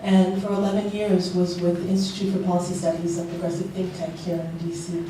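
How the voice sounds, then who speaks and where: A woman's voice: fairly low pitch, level tone, brisk, dark tone, slight background noise. A woman from Liberia speaking earnestly on a panel to a packed room, with many ums and restarts. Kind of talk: panel remarks. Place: large packed event room. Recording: echoey hall, voice picked up from far off.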